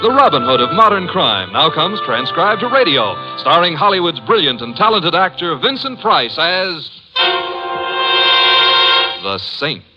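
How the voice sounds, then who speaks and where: A man's voice: fairly high pitch, very animated, measured pace. Grand old-time radio announcer, man. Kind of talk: formal radio show introduction. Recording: dull old radio with music underneath.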